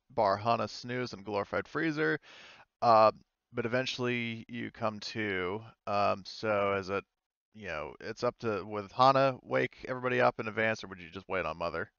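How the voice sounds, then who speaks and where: A man acting as game master, narrating a tabletop roleplaying game in a halting, conversational way. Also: on the low side, gravelly, fairly bright tone, clear, resonant tone, no echo, muffled recording.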